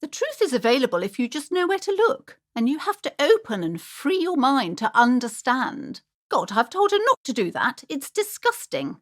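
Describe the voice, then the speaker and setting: A woman's voice: high-pitched, very animated, moderate pace, hardly any pauses. An actress playing a fussy, superstitious middle-aged woman who runs a bookshop in a video game, prim and haughty, with dramatic emphasis. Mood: proud salesmanship, then indignant. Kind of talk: theatrical video game character acting.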